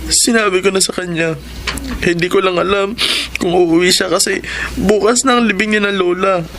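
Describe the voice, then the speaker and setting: An actor playing a gentle, grieving young man in a Filipino radio drama, speaking earnestly and emotively over music. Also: sits high, steady tone, normal speed, slight background noise. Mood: downcast. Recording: music under the dialogue.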